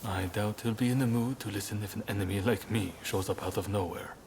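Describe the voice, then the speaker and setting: Low-voiced, earnest samurai leader. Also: male voice, fairly even intonation, fairly quick, darkish tone. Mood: thoughtful, resolved. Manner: formal, earnest, polite. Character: earnest, courteous idealist samurai clan leader. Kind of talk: dramatic video game cutscene acting. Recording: clear background ambience noise.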